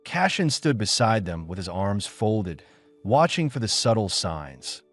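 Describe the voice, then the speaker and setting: A man's voice: fairly low pitch, big pitch swings, a little slow. A man narrating a scripted story as an audiobook, with music behind him.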